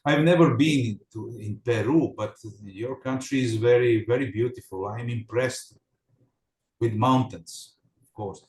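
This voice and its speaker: A man speaks English in a relaxed class chat. He pauses often and hunts for words. His voice carries a roomy echo, and his pitch sits in an ordinary middle range. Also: a little hiss or hum. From Serbia. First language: Serbian. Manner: halting, searches for words. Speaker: student in an online English class. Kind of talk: casual conversation class.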